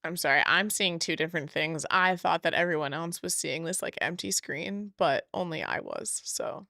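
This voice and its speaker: A woman co-hosting a casual podcast over a video call, giggly and upbeat, her voice a little raspy after a weekend wedding. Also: deep voice, animated, normal speed, bright, forceful tone, dry recording, clean studio sound. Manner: giggly, upbeat, frequent laughs and fillers. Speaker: podcast co-host. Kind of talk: casual chat. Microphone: video call setup.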